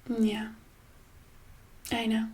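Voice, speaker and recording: A woman playing a smug, sinister boss in a soft-spoken ASMR roleplay, casual and condescending, with a breathy voice that often slips into a whisper. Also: on the high side, monotone. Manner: casual, condescending, falsely sweet, hesitant pauses. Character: smug, sinister female boss sweetly holding an employee hostage.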